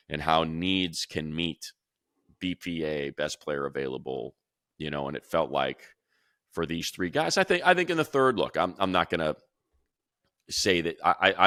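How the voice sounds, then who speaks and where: Middle-aged male announcer type: deep, bursts into animated, excitable analysis. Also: gravelly, dry recording, clean studio sound. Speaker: radio play-by-play announcer and co-host. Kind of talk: casual sports chat.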